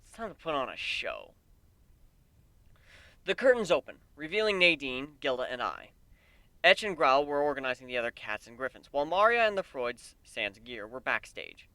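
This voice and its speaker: A man reading a story aloud in the first person as an amateur dramatic narrator, expressive and wry. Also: medium pitch, moderate pace, no room sound.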